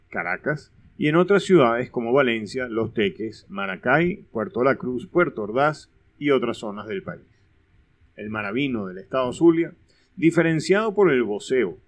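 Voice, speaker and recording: A man with a Venezuelan accent giving scripted educational narration in a measured, explanatory way. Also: mid-range voice.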